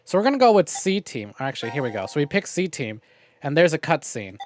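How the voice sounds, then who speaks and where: Animated, higher-pitched man chatting on stream. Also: quick pace. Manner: conversational, addresses viewers, swears casually. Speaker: livestream host. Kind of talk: casual game stream chat and commentary.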